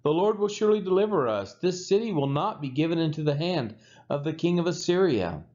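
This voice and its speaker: A man's voice: a little high, animated, brisk, a little mellow, voice pushed up, natural voice. A man reading the lines of a haughty male Assyrian envoy who taunts a besieged city with mocking questions. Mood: taunting. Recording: dull lo-fi sound.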